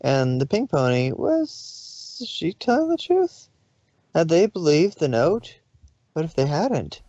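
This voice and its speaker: A woman narrating fan fiction as an expressive story reading. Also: deep voice, slow pace, no room sound. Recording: slightly dull home recording.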